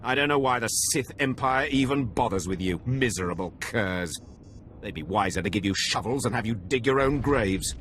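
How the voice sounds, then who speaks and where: A man's voice: mid-range voice, lively intonation, moderate pace, a bit bright, slight background noise, close to an everyday voice. A man acting a cruel, contemptuous overseer of slaves in a video game cutscene, with a sneering, scornful manner. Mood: scornful, barking. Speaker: Sith academy overseer character.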